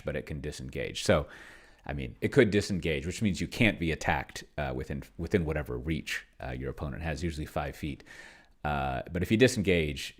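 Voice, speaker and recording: A man casually thinking aloud as he hosts a tabletop game session, musing and halting as he goes. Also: very deep voice, creaky. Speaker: host playing a tabletop roleplaying game with an AI game master.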